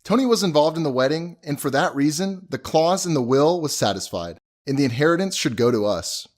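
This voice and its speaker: Male actor as excitable young husband, lively swooping pitch, folksy and informal, audio drama. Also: brisk, natural voice. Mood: defensive. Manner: informal, chatty storytelling.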